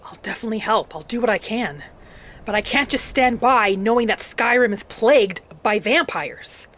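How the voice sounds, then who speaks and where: A woman voicing a vengeful young orphaned vampire hunter, narrating a video game in character with earnest drama and breathy asides. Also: fairly high pitch, expressive, moderate pace, a bit bright. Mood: determined. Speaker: roleplay gamer narrating in character. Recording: music behind the voice. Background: game music.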